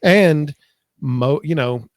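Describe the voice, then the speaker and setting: A man's voice: on the high side, extremely slow, dry recording, clean studio sound. A middle-aged American man co-hosting a podcast in casual conversation. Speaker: podcast co-host. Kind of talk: casual chat.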